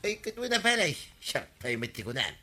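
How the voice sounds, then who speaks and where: A man's voice: on the high side, sing-song, normal speed, pushed and bright, heavily acted. An actor playing a chatty, cheeky village man with a Filipino accent, teasing and exclamatory in a radio comedy drama. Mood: grumbling.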